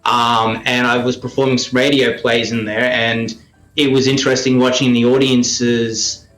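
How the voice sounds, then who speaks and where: A man with an Australian accent giving conversational interview answers in a halting way, as if thinking aloud. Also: mid-range voice, little pitch movement, a bit bright, echoey room, soft background music, rough recording. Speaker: radio play producer and performer, interview guest.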